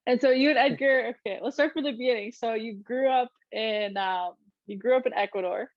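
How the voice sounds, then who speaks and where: A woman's voice: on the high side, fairly quick, no room sound. Chatty woman host with dry, dull sound. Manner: upbeat, chatty, frequent laughs and fillers. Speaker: podcast host. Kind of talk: casual podcast interview.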